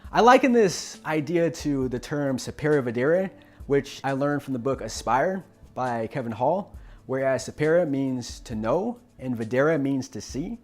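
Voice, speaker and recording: An earnest young man talks straight to the viewer, encouraging and sincere, now and then repeating a word or restarting a phrase. His voice sits at an ordinary middle pitch, and soft background music plays under him. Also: lively intonation, unhurried. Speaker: host of a self-help channel on stuttering. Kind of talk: motivational talk to camera.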